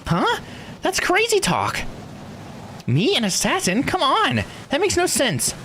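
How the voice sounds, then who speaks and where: A man voicing an excitable, frightened young woman in a video game, startled and questioning. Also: very high voice, slow pace, a little hiss or hum, voice pushed up, full character voice. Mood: incredulous. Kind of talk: video game dialogue read aloud.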